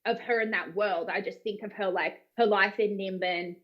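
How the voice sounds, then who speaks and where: A woman's voice: mid-range voice, steady tone, moderate pace, dull audio. An Australian woman, a voice actor, talking in a casual, informal, rambling way. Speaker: voice actor being interviewed. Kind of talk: casual chat.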